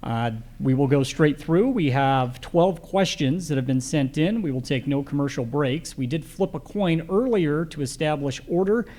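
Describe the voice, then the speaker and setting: A man's voice: on the high side, moderate pace, few pauses, warm tone. A man acting as moderator, formally setting out the rules of a candidate forum.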